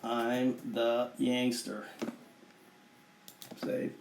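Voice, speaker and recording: A man's voice: mid-range voice, little pitch movement, very slow, creaky, a little mellow, a little hiss or hum, voice pushed down. A man putting on an American Southern accent as a brash, folksy redneck livestream host who rambles, boasts and swears casually to his viewers. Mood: chatty, rambling. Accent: put-on American Southern. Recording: roomy, echoing livestream audio.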